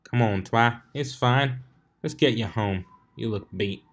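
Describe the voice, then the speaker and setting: A man's voice: mid-range voice, unhurried, natural voice. A folksy farm mare from a storybook, played by a man. She is plain-spoken and kindly, with rural turns of phrase and a down-to-earth, reassuring way about her.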